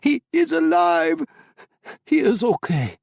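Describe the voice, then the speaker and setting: A man's voice: big pitch swings, takes it slowly, vocal fry, no room sound, clean studio sound, full character voice. A man voicing panicked male fighters crying out over a radio in an audiobook. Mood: frantic. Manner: frantic high shouting.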